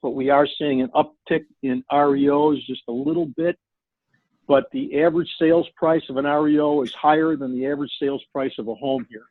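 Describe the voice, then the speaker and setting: A man's voice: fairly high pitch, lively intonation, brisk, dry recording. An older man, a real estate agent, chatting casually over the phone on a group call. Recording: tinny, dry phone line.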